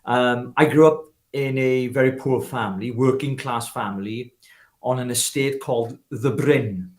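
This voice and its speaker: A man's voice: medium pitch, fairly even intonation, unhurried, echoey room. A Welshman in his fifties, a guest telling his life story in an earnest, personal way with many restarts. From Wales. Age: 50s. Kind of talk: casual interview talk.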